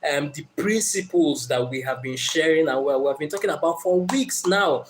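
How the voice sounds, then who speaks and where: A man's voice: a little high, normal speed, slight background noise. A man with a Nigerian accent hosting a motivational live stream. He speaks earnestly and encouragingly, greets commenters, and uses many fillers.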